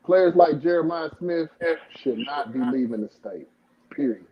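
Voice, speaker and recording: Fairly high-voiced man, emphatic and repetitive, dull audio. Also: lively intonation, a little slow, crowd noise. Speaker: podcast co-host. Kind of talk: casual sports debate.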